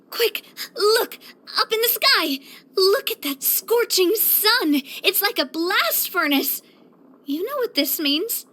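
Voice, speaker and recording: A woman's voice: high-pitched, heavily acted. An actress playing a spirited, proud and affectionate young woman boss in a video game, with a theatrical, bubbly delivery. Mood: excited urgency. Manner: theatrical, expressive, bubbly, with playful grandiosity. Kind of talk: scripted video game character voice lines.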